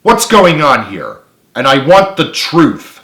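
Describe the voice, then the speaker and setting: A man's voice: fairly high pitch, very animated, slow pace, a bit bright, pitched above the performer's own voice, full character voice. A man voicing an angry, suspicious young heroine with a stern, demanding manner, in a roomy recording.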